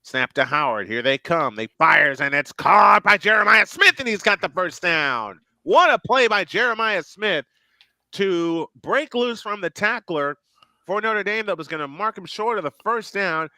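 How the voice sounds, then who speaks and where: A man calls a football game play by play with a bright, forceful voice pitched a bit high. He rattles through formations and snaps and gets excited on the big plays, now and then correcting himself. The sound is close and dry. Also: moderate pace, dry recording. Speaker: play-by-play announcer. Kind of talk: alternative play-by-play commentary.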